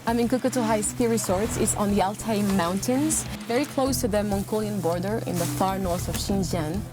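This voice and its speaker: A woman's voice: medium pitch, a little fast. Woman presenting a travel documentary over music. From Morocco. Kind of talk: on-location chat and voice-over narration. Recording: music behind the voice.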